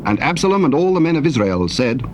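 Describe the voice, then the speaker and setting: Male narrator for dramatized scripture, formal and measured, mid register, slightly hissy old cassette. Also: medium pitch, sing-song.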